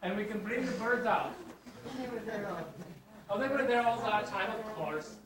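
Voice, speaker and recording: A man's voice: high-pitched, expressive, unhurried. A man voicing a pompous, flustered intellectual lecturer with a put-on Indian accent, formal and mock-serious, before an audience. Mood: flustered mock indignation. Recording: roomy, distant mic, crowd around.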